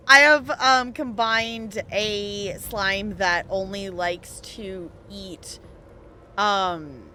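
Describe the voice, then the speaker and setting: A woman live streamer chatting casually with her viewers while gaming, thinking aloud with halting pauses. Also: medium pitch, bright, forceful tone, a little hiss or hum.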